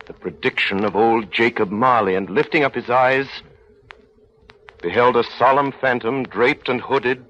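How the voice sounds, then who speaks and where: Theatrical male narrator, dull vintage radio sound. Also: medium pitch. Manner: solemn, theatrical, measured. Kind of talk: dramatic old-time radio narration. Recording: dull old radio recording with music.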